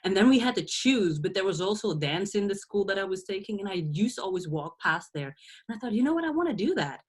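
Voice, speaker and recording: A woman's voice: medium pitch, big pitch swings, fast talker, slightly rough. A woman in her thirties from Belgium, a podcast guest talking through her past in a reflective way, with frequent laughs and restarts. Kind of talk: casual interview.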